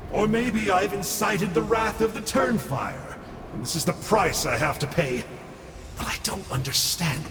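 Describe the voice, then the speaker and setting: A man's voice: fairly high pitch, creaky, roomy sound, long reverb tail, heavily acted. A man voicing a sly, cowardly clerk of a villainous group, glib and sarcastic.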